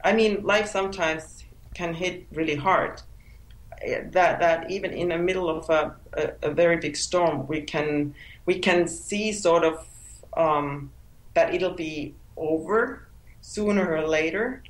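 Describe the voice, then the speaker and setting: A woman's voice: on the low side, unhurried. Finnish woman, hesitant, in an echoey room. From Finland. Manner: hesitant, repeats words while searching for them. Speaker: author guest. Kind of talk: interview answers.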